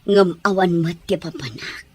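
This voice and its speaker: A woman playing a sickly, weary, aging mother in an audio drama, with a weak, breathy voice and a pleading manner. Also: lowish voice, unhurried, darkish tone, slight background noise, close to an everyday voice. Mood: pained. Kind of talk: Ilocano audio drama acting.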